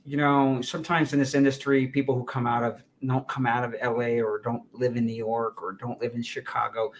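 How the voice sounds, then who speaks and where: A man's voice: medium pitch, level tone, a little fast. Encouraging man hosting an interview. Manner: encouraging, repeats words and restarts often. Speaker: talk show host. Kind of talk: casual interview.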